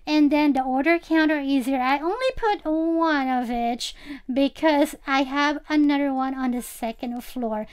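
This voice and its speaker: A woman's voice: sits high, a little slow. A woman giving a casual, chatty game tour as a channel host, speaking directly to viewers.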